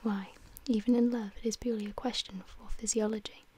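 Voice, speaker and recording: Languid, cynical storybook aristocrat played by a woman, whispered, dreamy, evenly pitched. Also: flat delivery, a little fast, dark tone, slight background noise, natural voice. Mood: amused cynicism. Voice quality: breathy whisper. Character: languid, witty, cynical upper-class gentleman. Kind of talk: whispered audiobook dialogue.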